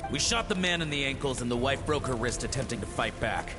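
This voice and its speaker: An actor playing a dutiful, callous soldier in a video game, reporting with assurance. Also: male voice, medium pitch, animated, fast, close to an everyday voice. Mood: coldly confident. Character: dutiful male soldier reporting coldly to his commander. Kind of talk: dramatic video game voice acting. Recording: loud music behind.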